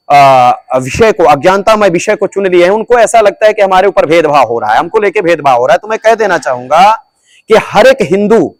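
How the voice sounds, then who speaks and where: A man with a high, ringing voice gives a sermon-like answer to an interviewer and hammers his points home through repetition. The sound is dry and close, with no room around it. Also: no echo. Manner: declamatory, repeats phrases for emphasis. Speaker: religious guru being interviewed.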